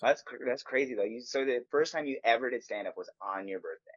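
A man's voice: mid-range voice, fast, no room sound, muffled recording. A man hosting a comedy podcast, asking questions in a laid-back way and laughing easily. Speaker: podcast host. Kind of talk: casual podcast chat.

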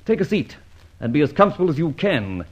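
An actor playing a plucky, confident gentleman adventurer in an old radio serial, with breezy old-fashioned slang. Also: a little high, very animated, quick pace, breathy edge, lo-fi sound. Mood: triumphant, mocking.

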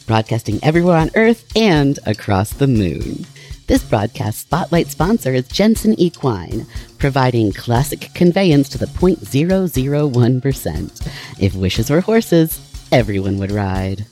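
A smooth sponsor announcer in an audio drama. His voice is mellow, with an animated melody. Faint music plays behind the promotional read. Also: mid-range voice, moderate pace. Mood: polished, promotional. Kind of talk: mock broadcast sponsor announcement.

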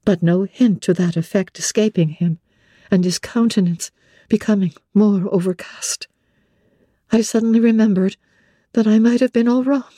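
A woman narrating classic literature in a measured, expressive storytelling manner. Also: medium pitch, no room sound, clean studio sound.

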